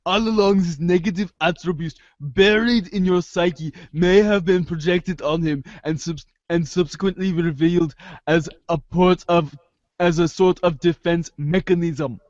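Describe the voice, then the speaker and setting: Man playing a pedantic, high-voiced analyst. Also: steady tone, a little slow, no room sound, muffled recording. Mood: probing. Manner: formal, analytical, stumbling over long phrases. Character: pedantic, probing amateur psychoanalyst, unflappable and wordy. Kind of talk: amateur reader's theater acting.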